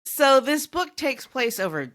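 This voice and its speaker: A woman's voice: sits high, very animated, measured pace, fairly bright tone. An American woman from California hosting a podcast, talking casually in a book discussion.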